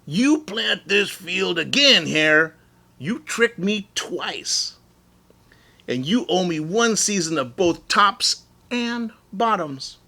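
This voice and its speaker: A grumpy, indignant male bear from a children's storybook, played by a man reading aloud. He complains in a raised, forceful voice, drawing out his words slowly. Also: sits high, animated, gravelly, pitched above the performer's own voice, heavily acted. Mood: aggrieved. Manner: scowling, growling complaints, hollering.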